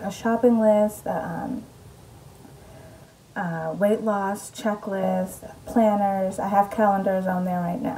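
A woman's voice: medium pitch, warm tone, slight background noise, poor audio. A young woman vlogging from her bedroom, chatting casually and upbeat with lots of ums while speaking close to a camera. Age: young adult. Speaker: mom vlogger. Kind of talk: casual vlog chat. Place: bedroom at home, indoors. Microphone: camera in front at close range.

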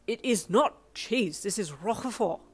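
A woman's voice: deep voice, normal speed. A woman voicing a stiff, formal young man with fussy, proper diction in a storybook reading. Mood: flustered. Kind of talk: audiobook character voice.

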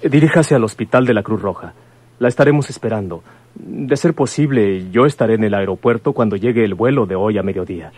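A man playing a grave, considerate son in a radio drama, speaking politely and haltingly. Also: lowish voice, a little mellow, slightly rough. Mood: reassuring. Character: grave, considerate son breaking bad news.

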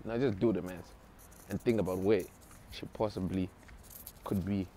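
Young man vlogging over loud street noise. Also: low voice, steady tone, normal speed. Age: young adult. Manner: joking, swaggering, self-mocking street slang. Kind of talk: casual rambling selfie vlog. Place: outdoors on city streets and inside a shop. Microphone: wired earphone mic, handheld phone from below.

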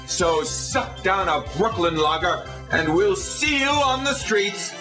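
High-pitched man doing a mock infomercial pitch. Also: expressive, takes it slowly, music in the background, poor audio. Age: middle-aged. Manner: sarcastic, theatrical. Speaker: infomercial pitchman in a satirical rant.

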